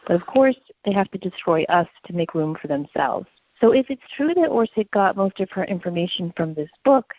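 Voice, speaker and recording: A woman's voice: medium pitch, no room sound, muffled recording. A woman co-hosting a podcast, narrating from prepared notes in an explanatory, storytelling way. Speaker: podcast co-host.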